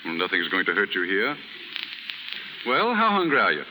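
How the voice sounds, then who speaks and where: An actor playing a calm, well-off gentleman with courteous, reassuring talk in a 1930s radio drama. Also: sits low, normal speed, full character voice. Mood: kindly. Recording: very noisy 1930s radio recording.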